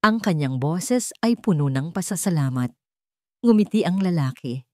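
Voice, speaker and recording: A woman reading a sentimental love story as a scripted narration, in a measured, earnest storytelling manner. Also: lowish voice, lively intonation, warm tone, no room sound, studio quality.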